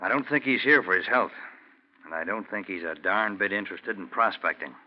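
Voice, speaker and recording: An actor playing a shrewd, suspicious rural prospector, blunt and folksy, in a 1940s radio drama. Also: male voice, low voice, brisk, noisy background, natural voice. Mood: wary, scheming. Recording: muffled 1940s radio broadcast.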